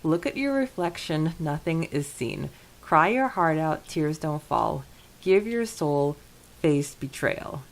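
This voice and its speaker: A woman with a low voice, speaking as a podcast co-host. She recaps and discusses in a casual, conversational style. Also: expressive, takes it slowly.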